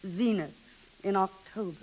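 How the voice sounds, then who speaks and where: An actress in a 1930s radio play as a vain, evasive wife who clings to youth and romance, with a theatrical manner. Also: female voice, mid-range voice, a little slow, darkish tone, lightly acted. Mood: subdued. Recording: dull, reverberant 1930s radio broadcast.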